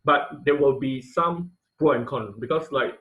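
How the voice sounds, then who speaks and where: A man giving an informal technical lecture as an online instructor, explaining haltingly with frequent repetition and non-native English phrasing. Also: mid-range voice, narrow, tinny audio. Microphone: online video call.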